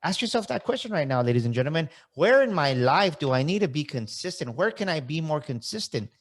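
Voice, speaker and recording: Podcast host, man in his 40s, fervent and repetitive, slightly high voice. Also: sing-song, fairly quick. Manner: fervent, repeats phrases for emphasis. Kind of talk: motivational interview talk.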